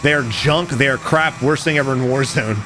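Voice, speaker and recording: A man casually commenting over game footage, animated and profane, talking straight to his viewers, his voice going a little hoarse. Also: mid-range voice, fairly quick, music in the background. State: losing his voice. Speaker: gaming commentator.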